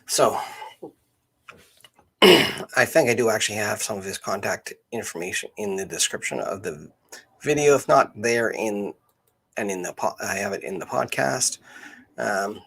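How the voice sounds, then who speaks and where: Husky, creaky-voiced man, halting delivery. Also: fairly low pitch, fairly bright tone, poor audio. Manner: halting, hesitant, searching for words. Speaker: live stream host. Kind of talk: unscripted live stream chat.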